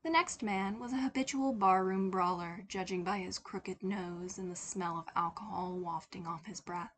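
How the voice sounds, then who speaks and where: A woman's voice: mid-range voice, fairly even intonation, moderate pace. A woman giving a scripted narration of a fiction story as a podfic reader. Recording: faint background noise.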